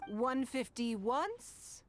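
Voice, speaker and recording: A woman voicing a poised, shrewd wealthy noblewoman in a video game, with a measured, knowing manner. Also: on the high side, sing-song, soft background music, full character voice. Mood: poised, businesslike.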